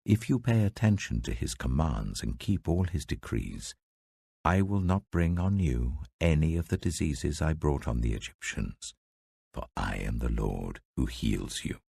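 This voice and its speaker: A British man voicing a grave, commanding, almighty God in a scripture reading, slow and weighty. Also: extremely low pitch, expressive, vocal fry, mellow, slightly rough, no echo, pitched below the performer's own voice, full character voice. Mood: solemn, commanding.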